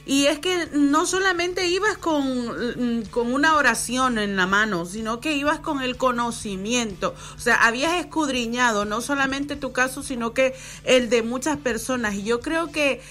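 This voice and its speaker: A woman's voice: sits high, normal speed, few pauses, bright, forceful tone. Woman host, affirming. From El Salvador. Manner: reflective, frequent affirming backchannels. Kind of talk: conversational interview.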